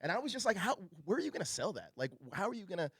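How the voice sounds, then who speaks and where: Quick-talking, enthusiastic man interviewing. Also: high voice, very animated, fairly bright tone, slightly rough, dry recording, clean studio sound. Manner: enthusiastic, encouraging. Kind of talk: casual, conversational interview.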